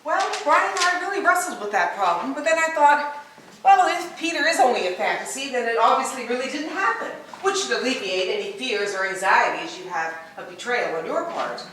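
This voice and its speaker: A woman plays an earnest, rationalizing wife in a stage play rehearsal. Her fairly high voice moves expressively through theatrical, over-reasoned phrasing. The room is very echoey and she sounds far from the microphone. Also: animated, fairly quick, fairly bright tone. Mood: earnest, reasoning. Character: earnest, self-justifying wife calmly rationalizing her fantasy affair. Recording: distant, echoey room with background noise.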